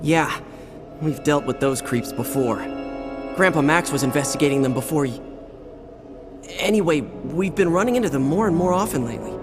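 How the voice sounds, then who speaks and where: A man's voice: a little high, close to an everyday voice. An actor voicing a sarcastic, eager teenage boy hero in a video game cutscene. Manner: sarcastic, quick, boyish. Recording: game audio with loud music underneath.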